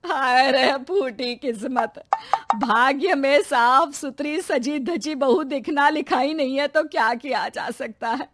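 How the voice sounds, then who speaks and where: A dramatic mother-in-law, voiced by a woman, moaning about her fate in a somewhat high voice. The sound is close and dry, a cartoon household scolding. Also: takes it slowly, fairly bright tone, no room sound. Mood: lamenting. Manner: lamenting, sarcastic.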